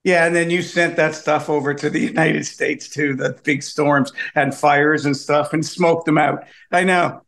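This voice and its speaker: A man's voice: on the high side, fairly even intonation, measured pace, creaky, hardly any pauses, a bit bright. A man from Canada, a comedian guest on a video call, telling wry, complaining stories in a casual interview.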